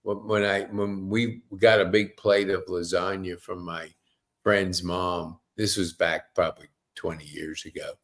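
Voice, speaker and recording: A man hosts a relaxed, conversational interview in a fairly low voice. His delivery is slow and rambling, full of enthusiasm as he feels his way into each question. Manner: effusive, rambling, stop-and-start questions. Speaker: podcast host.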